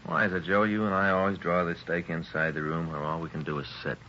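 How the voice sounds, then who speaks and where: A man's voice: low-pitched, flat delivery, quick pace, sharp tone. A man playing an easygoing, grumbling police detective partner in conversational 1950s radio drama style. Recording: dull, noisy 1950s radio recording.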